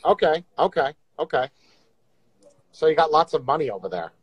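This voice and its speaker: A man's voice: fairly high pitch, big pitch swings, normal speed, husky, no room sound, low-quality recording. A man hosting a casual livestream, teasing and joking crudely with viewers on video calls. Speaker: livestream host.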